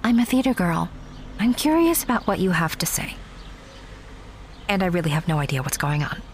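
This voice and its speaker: Brief: woman as sarcastic young theater girl, animated pitch, faint hiss. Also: normal speed. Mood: wry. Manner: sarcastic, guarded. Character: wry young theater girl, new girlfriend. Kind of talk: audio drama acting.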